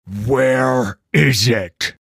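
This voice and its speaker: A man voicing a stern, bitter mage hunter from a fantasy story, rough-voiced, sneering and accusatory. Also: lowish voice, expressive, takes it slowly, no echo, studio quality, full character voice. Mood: furious interrogation. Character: stern, bitter male mage hunter, grieving and menacing interrogator.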